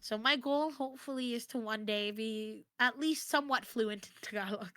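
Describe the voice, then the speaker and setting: Bright, high-voiced American woman. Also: moderate pace. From United States. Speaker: interview guest, streamer. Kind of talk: casual chat.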